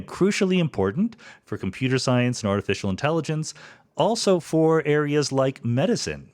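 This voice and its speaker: American man hosting a conversational podcast. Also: lowish voice. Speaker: podcast host. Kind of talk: conversational interview and podcast introduction.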